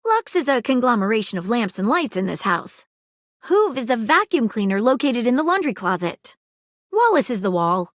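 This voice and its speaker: Prim, dogged detective object for a dating game, lively intonation, dry studio read. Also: female voice, a little high, moderate pace, no echo. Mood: earnest. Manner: formal, precise detective phrasing. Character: earnest female detective, a household object. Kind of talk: game dialogue clips. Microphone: studio booth mic.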